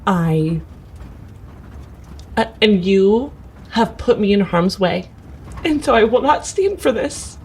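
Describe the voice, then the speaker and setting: Slow, firm woman role-playing. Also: medium pitch, expressive, a little mellow, a little hiss or hum. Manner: firm, deliberate, halting. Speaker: tabletop game player. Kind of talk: improvised in-character role-play. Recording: music playing under the voice.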